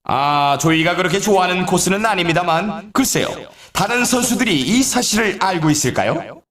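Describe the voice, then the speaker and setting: A man's voice: high voice, animated, brisk, a bit bright. A man playing an upbeat, showy sports announcer in a video game, with polished, scripted commentary delivery. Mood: excited. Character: male snowboard race announcer, upbeat and showy. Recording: echoey, distant-sounding game audio.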